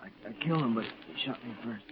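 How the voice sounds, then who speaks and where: Casting: male actor as green, anxious kid Marine, quick delivery, 1940s radio acting, heavily noisy sound. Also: mid-range voice, natural voice. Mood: wounded, fading. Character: eager, naive, nervous young American Marine. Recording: dull, very noisy old radio broadcast.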